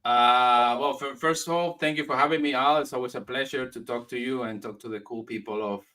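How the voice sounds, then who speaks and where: A man from Spain, a guest comic writer chatting in an interview, friendly but halting, restarting his sentences. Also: mid-range voice, steady tone, sharp tone. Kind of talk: casual interview chat. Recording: distant from the mic.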